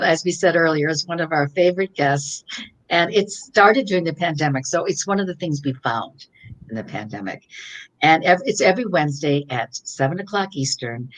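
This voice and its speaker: An older woman author with a low, slightly gravelly voice, chatting casually on a video call and often trailing off and restarting. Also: rough recording. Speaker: author guest. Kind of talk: casual conversation on a virtual event. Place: home, virtual call.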